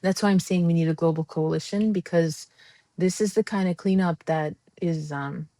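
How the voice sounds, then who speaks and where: Woman, podcast guest, impassioned, lots of ums, lowish voice, dry. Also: fairly even intonation, moderate pace, warm tone, dry recording. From United States. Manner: earnest and impassioned, frequent ums and restarts. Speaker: guest, democracy advocate. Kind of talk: conversational podcast interview.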